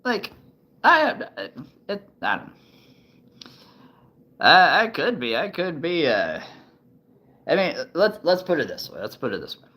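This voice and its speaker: A man's voice: fairly high pitch, very animated, measured pace, pauses often, bright, forceful tone, slightly rough. A man in his thirties chatting casually to the camera, thinking aloud with many ums and restarts. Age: 30s. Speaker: vlogger.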